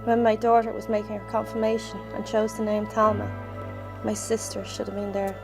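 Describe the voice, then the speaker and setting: A middle-aged woman with a Dublin accent reads a prepared memorial tribute to her sister in a measured, solemn way. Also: medium pitch, flat delivery, music in the background. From Ireland. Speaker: bereaved sister giving a tribute. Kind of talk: reading a prepared memorial statement.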